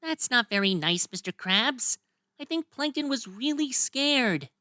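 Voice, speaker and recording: A very bright, bouncy voice for a naive, cheerful young male cartoon sponge, as a man puts him on. He talks in an eager, sing-song way. It sits close to the mic. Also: very high voice, expressive, a little slow, dry recording, full character voice. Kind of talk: comic fan dub.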